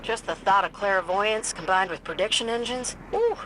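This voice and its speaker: Woman acting bright, cheeky pilot. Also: medium pitch, moderate pace, a little hiss or hum, natural voice, poor audio. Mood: breezy, teasing. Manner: casual, joking. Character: breezy, cheeky female pilot, friendly and confident. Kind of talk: video game radio chatter.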